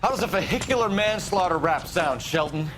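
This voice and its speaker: An actor playing a stern, righteous 1940s American police detective in a video game, with clipped, accusing questions. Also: male voice, high-pitched, expressive, brisk, over loud music, poor audio. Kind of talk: dramatic video game acting.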